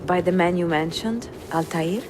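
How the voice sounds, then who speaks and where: Woman acting a curious cultured lady traveler, lowish voice, gently teasing, game cutscene, noisy background. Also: normal speed, close to an everyday voice. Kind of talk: dramatic game cutscene dialogue.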